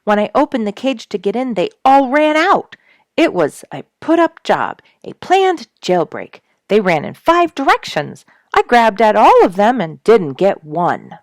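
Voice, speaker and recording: A woman voicing a breathless, alarmed man who calls out loudly in a storybook. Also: fairly high pitch, sing-song, unhurried, dry recording, voice pushed up. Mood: breathless, exasperated. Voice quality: breathless, shouted. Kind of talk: audiobook character voice in an animal story.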